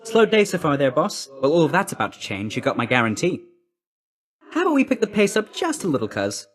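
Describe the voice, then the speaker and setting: An actor playing a brisk, cheeky young messenger god in a video game, with a breezy, slangy, chummy, clipped delivery. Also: male voice, a little high, huge pitch swings.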